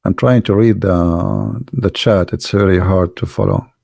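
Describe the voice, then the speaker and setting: Gravelly-voiced man, mellow and casual. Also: low voice, a little slow, no room sound, clean studio sound. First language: Arabic. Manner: animated storyteller, non-native English grammar. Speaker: livestream host. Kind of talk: casual anecdotes and chat with live viewers.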